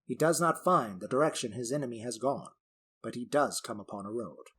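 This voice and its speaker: A man's voice: medium pitch, lively intonation, fairly quick, a bit bright, husky. A man narrating a scripted fantasy story as its storyteller.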